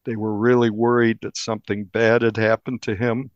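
A man, a newspaper reporter, recounting a crime case in an interview with measured, deliberate storytelling. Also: fairly low pitch, level tone, no room sound, highs cut off.

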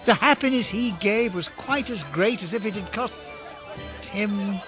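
A man's voice: high-pitched, measured pace. An actor playing an anxious, regretful old miser reliving his past in a radio drama. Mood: wistful, earnest. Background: music behind the voice.

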